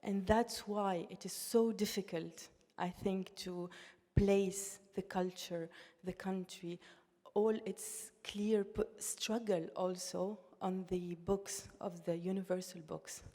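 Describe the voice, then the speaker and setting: A woman's voice: mid-range voice, fairly even intonation, slow, dark tone, big-room sound. A woman who grew up in Tunisia with Russian as her first language, speaking earnestly as a panelist, often restarting her words. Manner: earnest, thoughtful, with frequent restarts. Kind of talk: panel discussion talk.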